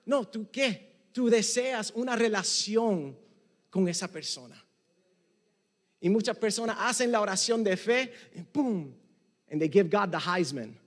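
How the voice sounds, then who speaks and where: A Puerto Rican man preaches a sermon with a high voice. He moves between Spanish and English, pressing hard on some phrases and dropping to a hush on others. The sound carries a long hall echo. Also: expressive, unhurried, long pauses. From Puerto Rico. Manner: emphatic, rising and dropping to near hush. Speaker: pastor.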